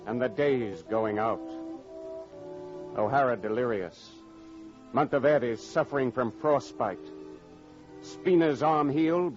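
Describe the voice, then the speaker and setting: Dull old radio sound with clear music behind an actor as a solemn man narrating an ordeal. He speaks slowly and gravely, in anguished rhetorical phrases. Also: mid-range voice, close to an everyday voice. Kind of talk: 1940s radio dramatic narration.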